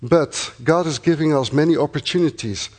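A middle-aged Dutch-accented man speaks to a supporting congregation in an earnest, grateful way, pausing now and then with fillers. His voice sits a little higher than most men's. He talks as a missionary. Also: a little mellow, husky. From Netherlands. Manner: earnest and thankful, with pauses and fillers. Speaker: missionary church planter.

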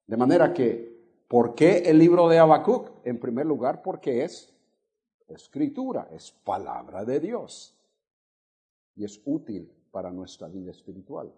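An older man from Honduras with a faded Honduran accent, a guest preacher teaching a Bible study to a congregation, measured, stretching key words and putting questions to the listeners. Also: mid-range voice, very animated, dark tone. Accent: Honduran Spanish, faded. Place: church sanctuary.

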